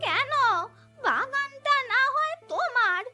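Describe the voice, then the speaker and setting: A woman voicing an indignant, scheming elderly village aunt in a cartoon, with a loud, scolding, drawn-out delivery. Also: very high-pitched, big pitch swings, music in the background, exaggerated voice. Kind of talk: cartoon voice acting.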